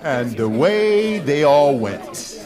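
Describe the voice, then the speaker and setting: A man's voice: a little high, sing-song, very slow. A man narrating a scripted radio drama live, in a theatrical storytelling manner. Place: live stage performance. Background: music.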